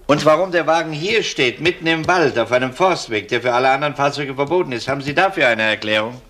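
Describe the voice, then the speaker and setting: A man's voice: medium pitch, animated, fast, a bit bright, lightly acted. A man playing a firm police inspector in a German crime radio drama, questioning in a clipped, dryly sardonic way. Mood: measured, probing. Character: male police inspector, firm, dry, probing. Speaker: actor.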